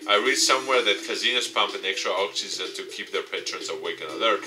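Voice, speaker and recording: A man's voice: deep voice, steady tone, fast talker, music in the background. A man reading a visual novel game aloud as its host, in a dramatized narrating style.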